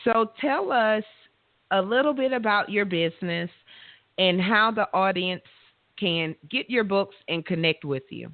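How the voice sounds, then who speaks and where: A woman with a loud voice, hosting a radio talk show in a frank, self-assured way. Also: medium pitch, animated, measured pace, dry recording. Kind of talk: casual interview chat. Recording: dry, tinny phone-line sound.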